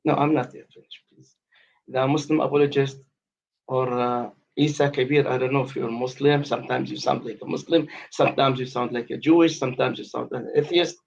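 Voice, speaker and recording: A man in his late fifties from the West Bank talks quickly with a gravelly edge, pressing his points in insistent, formal phrasing. His voice comes through a dull, lo-fi call line. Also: mid-range voice, fairly even intonation, darkish tone. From West Bank, Palestine. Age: 50s. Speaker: caller in a debate aftershow. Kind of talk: heated live discussion. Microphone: online call.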